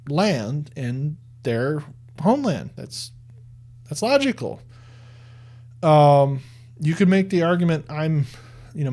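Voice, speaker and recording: A man's voice: medium pitch, darkish tone. A man giving earnest religious commentary in a conversational tone, often restarting and repeating words as he makes his case.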